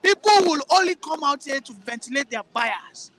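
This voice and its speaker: A man's voice: very high-pitched, lively intonation, moderate pace, fairly bright tone, slight background noise. A man with a Nigerian accent, arguing politics in a heated, mocking way and repeating his points. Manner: combative, mocking, repeats himself.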